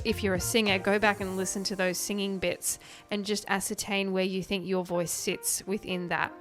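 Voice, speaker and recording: A woman with a light, higher voice, talking in a friendly, chatty and explanatory way on a close podcast microphone. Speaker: host reviewing microphones. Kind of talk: casual tech review talk to camera.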